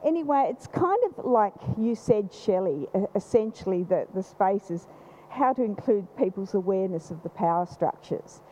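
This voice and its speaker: A woman with a loud voice, speaking from the audience at a panel event, rambling with many restarts. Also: mid-range voice, lively intonation, moderate pace, few pauses. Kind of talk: unscripted comment from the floor. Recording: slightly dull live event recording.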